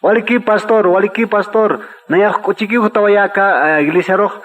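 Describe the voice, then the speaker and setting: A man's voice: sits high, moderate pace, fairly bright tone, poor audio. An actor playing an agreeable, deferential churchman who repeats himself, in a radio drama. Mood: agreeable, eager. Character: deferential, agreeable church man, eager to help. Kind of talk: scripted radio drama dialogue.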